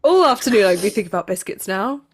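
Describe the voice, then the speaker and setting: A woman interviewed as an actor, chatting casually in an animated, jokey way and telling stories with mock drama. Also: mid-range voice, fast talker, background noise. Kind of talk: casual chat.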